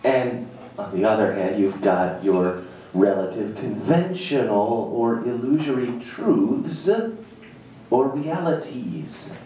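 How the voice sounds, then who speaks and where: A man giving a live dramatic reading of an essay, deliberate and emphatic, pausing between short phrases. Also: mid-range voice, dark tone. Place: live reading at a jazz club. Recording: echoey room, far from mic, faint noise.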